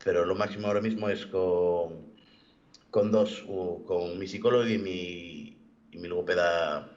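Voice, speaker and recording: Young adult male, deep-ish voice, halting and self-correcting testimony over dull online-call audio. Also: steady tone, darkish tone. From born in the United States, living in Galicia, Spain. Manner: halting, rephrasing and repeating words. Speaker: event participant giving a personal testimony. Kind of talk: personal testimony in an online interview. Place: video call.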